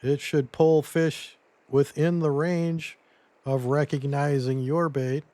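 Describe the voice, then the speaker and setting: A man losing his voice a little, giving a relaxed step-by-step gameplay tutorial as commentary. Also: on the high side, slow pace, no room sound. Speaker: game guide creator.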